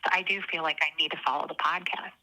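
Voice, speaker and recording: A woman's voice: low voice, steady tone, fast, pushed and bright, poor audio. A woman calling in to an advice show, hesitant and searching for words as she talks emotionally. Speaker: caller. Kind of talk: phone-in conversation.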